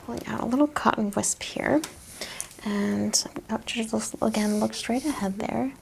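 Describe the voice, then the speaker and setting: A woman doing a soft-spoken ASMR medical exam roleplay, giving gentle instructions and often dropping into a whisper. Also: a little high, normal speed, creaky, slight background noise. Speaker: ASMR performer role-playing a medical examiner.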